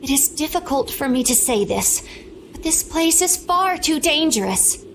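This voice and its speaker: Stern, high-voiced female knight. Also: animated, moderate pace, background noise. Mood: urgent concern. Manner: formal, earnest. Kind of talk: dramatic video game voice acting.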